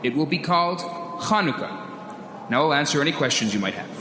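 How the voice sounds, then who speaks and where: A man playing a dignified, victorious rebel leader giving a deliberate speech in a radio drama. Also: fairly high pitch, lively intonation, lightly acted. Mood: solemn, proud. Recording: echoey hall, noisy background.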